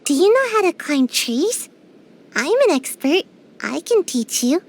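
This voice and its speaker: A drowsy little ninja girl with a high, bouncy voice that swings up into squeaky complaints. She talks slowly and sleepily, mumbling and sulking like a shy, grumpy kid in a game. Faint music plays behind her. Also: sing-song, heavily acted. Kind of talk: game character voice lines.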